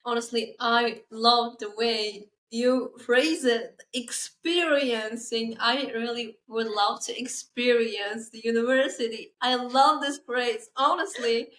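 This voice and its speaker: A young woman in her final year of university hosting a podcast, asking questions in halting, filler-heavy English with eager agreement. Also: a little high, fairly even intonation, fairly bright tone. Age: young adult. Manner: enthusiastic, halting non-native English with many fillers. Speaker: podcast host. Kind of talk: casual interview chat.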